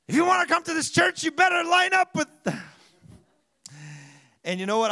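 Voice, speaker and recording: A man preaching a sermon as pastor, his voice rising to loud, emphatic shouts and falling quiet, with frequent restarts and slightly broken English. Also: a little high.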